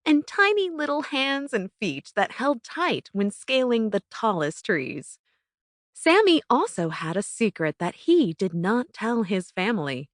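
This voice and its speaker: A woman narrating a children's bedtime story from a script in an expressive, careful storytelling manner. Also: medium pitch, dry recording, studio quality. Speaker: audiobook narrator.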